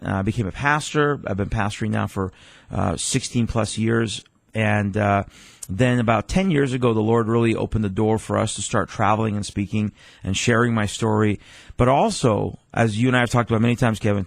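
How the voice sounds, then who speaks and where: A man's voice: on the low side, vocal fry, dry recording. A man in his forties, originally from Iran, a pastor speaking earnestly as a radio guest with frequent restarts.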